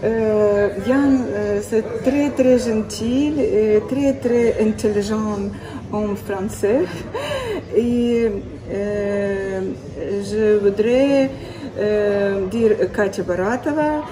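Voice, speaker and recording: A Ukrainian-accented woman speaks French very slowly, searching for each word between pauses and fillers. Her pitch sits in the middle. A crowd murmurs behind her. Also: low-quality recording. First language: Ukrainian or Russian. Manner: very halting, many filler sounds, simple phrasing. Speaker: interviewed mother.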